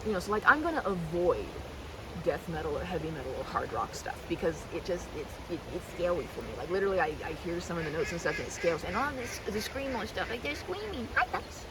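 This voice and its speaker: A woman chatting in a rambling, halting, earnest way, as if to a best friend in an ASMR roleplay, repeating herself and delivering things expressively. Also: on the low side, sing-song, heavy background noise. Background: riverside park ambience.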